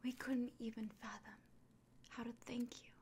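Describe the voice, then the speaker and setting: A woman playing a kind, anxious village innkeeper in a fantasy ASMR roleplay, speaking gently and directly to the listener in a breathy, mostly whispered voice. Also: a little high, little pitch movement, moderate pace, a little hiss or hum, natural voice. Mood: grateful.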